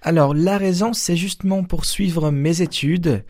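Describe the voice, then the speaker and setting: Hesitant young man, mellow voice. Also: on the high side, unhurried, no room sound. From Brazil. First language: Portuguese. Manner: hesitant, many fillers and restarts. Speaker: seminarian. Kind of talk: radio interview answers.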